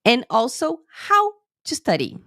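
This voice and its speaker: A woman English teacher giving a live-streamed lesson, a little sick with a cold and a sore throat, talking slightly slowly and often checking in with "okay?". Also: high voice, wildly swooping pitch, a bit bright, dry recording, clean studio sound. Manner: chatty, lots of "okay?" checks with viewers. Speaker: English teacher and IELTS tutor.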